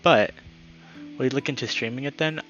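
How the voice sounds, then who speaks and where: A man's voice: medium pitch, brisk, dull audio, soft background music. A man speaking as a live streamer, moving between friendly chat and reading a story aloud. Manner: upbeat, friendly, excitable when greeting viewers.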